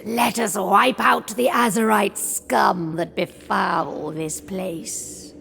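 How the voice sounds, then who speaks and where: A woman's voice: mid-range voice, creaky, a little hiss or hum, heavily acted. An actress playing a sly, imperious undead noblewoman in a video game cutscene, languid, aristocratic and coolly seductive.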